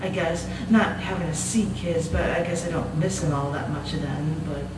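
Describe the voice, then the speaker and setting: A woman performing a live stage monologue as a restless, bitter imprisoned woman, rambling and defensive in a halting, conversational way. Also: sits low, steady tone, background noise, natural voice. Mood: dejected, bitter. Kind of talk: dramatic monologue read on stage. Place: small cabaret venue, live show. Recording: distant echoey live venue, loud music behind. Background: loud music.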